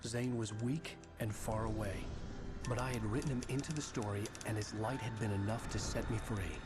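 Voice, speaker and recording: A male actor playing a haunted, weary novelist, narrating a video game in a brooding, measured way. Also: fairly low pitch, fairly even intonation, natural voice. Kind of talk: scripted first-person game narration. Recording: loud music under the voice.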